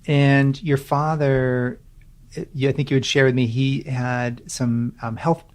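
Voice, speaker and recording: A man's voice: medium pitch, flat delivery, unhurried. A man hosting a podcast interview, chatty and casual, often restarting his phrases as he asks questions. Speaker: podcast host. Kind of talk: conversational interview.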